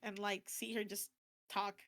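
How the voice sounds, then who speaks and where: A woman's voice: mid-range voice, level tone, unhurried, a bit bright, dry recording, studio quality. An American woman chatting casually and expressively as a guest on an interview stream. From United States. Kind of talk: casual chat.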